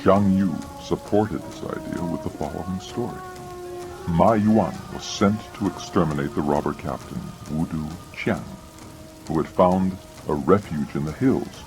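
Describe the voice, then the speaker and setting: A man narrates in an extremely deep bass voice. He reads formally and at a measured, storybook cadence, with music clearly playing behind him. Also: low-quality recording. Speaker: audiobook narrator. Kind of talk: scripted dramatic reading of war commentary.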